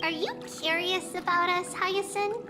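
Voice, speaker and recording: A little demigod girl from a video game, sweet-tempered and cheerful. Her childlike voice sits extremely high and she takes her time with each line. Music plays clearly behind her. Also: steady tone. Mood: bright, gentle. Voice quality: childlike, light voice. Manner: unhurried, speaks of herself as "we".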